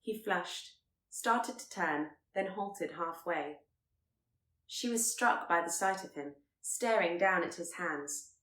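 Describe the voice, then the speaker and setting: A woman's voice: lowish voice, slow pace, fairly bright tone, echoey room, rough recording. A woman reading a period romance story aloud as scripted narration. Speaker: audiobook narrator.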